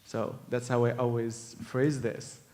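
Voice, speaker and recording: A man in his early thirties, a musician on a panel, talking earnestly and haltingly, often acting out imagined voices. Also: mid-range voice, level tone, darkish tone. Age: 30s. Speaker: Gnawa musician, panel guest. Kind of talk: casual panel conversation.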